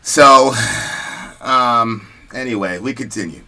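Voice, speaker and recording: Bright-voiced man talking casually. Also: mid-range voice, takes it slowly, low-quality recording. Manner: animated, slangy and conversational. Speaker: host of a news commentary show. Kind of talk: casual commentary and personal storytelling.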